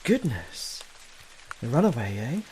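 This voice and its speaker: An actor playing a flustered, apologetic young gentleman in an audio drama, with a hesitant, polite way of speaking. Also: mid-range voice, big pitch swings, measured pace, slight background noise, rough recording. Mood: politely surprised.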